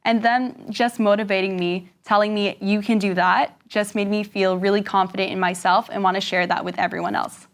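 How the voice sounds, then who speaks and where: A young woman in her late teens from Canada, a pageant titleholder, giving earnest, measured interview answers. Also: medium pitch, fairly even intonation.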